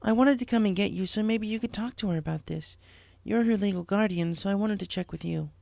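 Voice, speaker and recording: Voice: mid-range voice, a little fast, vocal fry, darkish tone, dry recording, pitched above the performer's own voice, lightly acted. A performer voicing a concerned, responsible female pony schoolteacher in a story reading. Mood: urgent, concerned.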